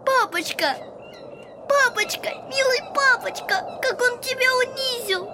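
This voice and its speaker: A small, loving schoolboy in an audio drama. The voice is extremely high and swoops about, plaintive and pleading. Clear noise and chirping sit behind it. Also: wildly swooping pitch, unhurried, heavily acted. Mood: anguished. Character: distressed, loving little schoolboy son.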